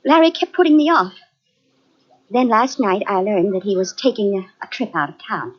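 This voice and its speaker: A woman's voice: medium pitch, lively intonation, natural voice. An actress playing a tense, defensive widow who falters as she talks, in a 1940s radio drama. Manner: halting, defensive. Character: tense wealthy widow under suspicion. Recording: dull lo-fi old radio recording.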